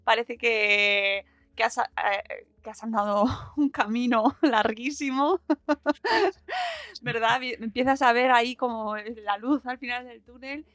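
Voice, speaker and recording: A woman's voice: a little high, lively intonation, slow, a bit bright, no room sound. A woman with an accent from Spain hosting a casual podcast interview, speaking informally and expressively and appealing to her listeners.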